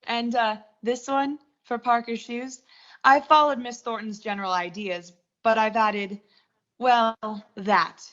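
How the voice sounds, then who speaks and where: A woman's voice: on the high side, fairly even intonation, measured pace, a bit bright. A young woman acting an ambitious, unsentimental art director who narrates her own story in a radio suspense play. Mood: insistent, brazen. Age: young adult. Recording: muffled lo-fi recording with music.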